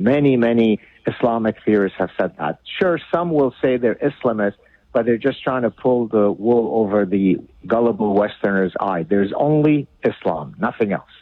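A man from Lebanon whose first language is Arabic, a guest author speaking bluntly and argumentatively in a radio interview over the phone. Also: medium pitch. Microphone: phone line. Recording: tinny phone line.

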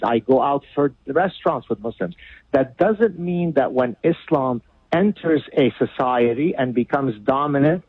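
A man's voice: medium pitch, breathy edge, no echo. Blunt, emphatic man on a tinny phone line. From Lebanon. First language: Arabic. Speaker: guest author. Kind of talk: radio interview.

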